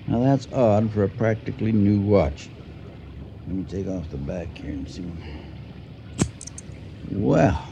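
An actor playing an older, dry-witted husband in a radio drama, speaking in a measured, deliberate way. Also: male voice, on the low side, creaky, mellow. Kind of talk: 1980 radio drama acting. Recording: muffled radio recording, faint noise.

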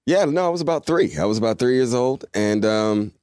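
A man on a talk show, telling jokes about himself in a deadpan way. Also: medium pitch, fairly quick, clean tone, no room sound, studio quality. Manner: deadpan self-mocking humor. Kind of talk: casual chat.

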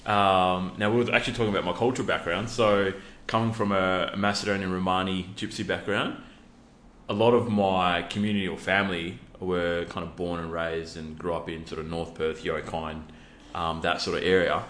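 Relaxed Australian man, deep voice. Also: normal speed. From Western Australia. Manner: relaxed, laid-back, many fillers. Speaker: podcast guest, youth worker. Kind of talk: casual interview talk. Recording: echoey room.

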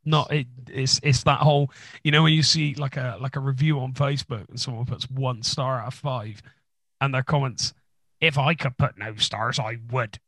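A man co-hosting a casual podcast, his voice gravelly with a crackly edge and husky after a recent illness. He rambles along with deadpan jokes. The sound is dry and close, with no room around it. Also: mid-range voice, normal speed, dry recording. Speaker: podcast co-host. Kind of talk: casual chat.

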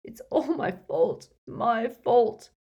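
A woman's voice: deep voice, animated, a little slow, darkish tone, muffled recording. A woman voicing an elderly male wizard mentor in an audiobook story, authoritative and insistent, with intense emotion. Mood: anguished sobbing. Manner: emphatic, insistent, moaning and groaning under torment.

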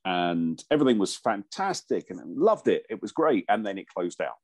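Croaky, very deep-voiced middle-aged man. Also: no echo. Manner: relaxed anecdotal storytelling with pauses. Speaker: radio presenter and voiceover artist. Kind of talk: casual chat, telling a story.

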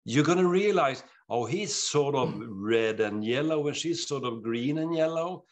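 A middle-aged man with a Swedish accent, an author talking in an interview, earnest and animated, often restarting his sentences. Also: medium pitch, a little slow. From Sweden. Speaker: author guest. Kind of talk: interview conversation.

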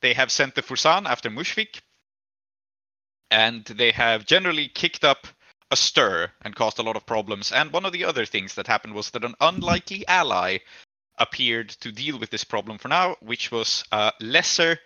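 A man's voice: mid-range voice, expressive, normal speed, sharp tone, no echo, lo-fi sound. A man hosting a tabletop roleplaying podcast as game master, recapping the story casually with hesitant, trailing asides.

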